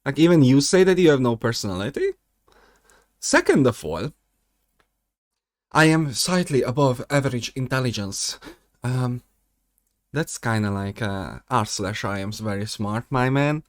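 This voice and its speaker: A man's voice: medium pitch, big pitch swings, a little slow, long pauses, no echo, studio quality. A young man hosting a reaction video, sarcastic and rambling, his voice jumping up when exasperated. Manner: rambling with many fillers and restarts. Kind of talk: mocking reaction commentary.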